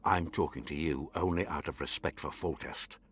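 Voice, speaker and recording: A man's voice: rumbling low, normal speed, fairly bright tone, husky, heavily acted. A man playing a haughty, scornful nobleman in a fantasy video game, with imperious, formal diction. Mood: cold, contemptuous. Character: haughty scornful nobleman, baron vying for a throne. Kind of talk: dramatic fantasy game acting.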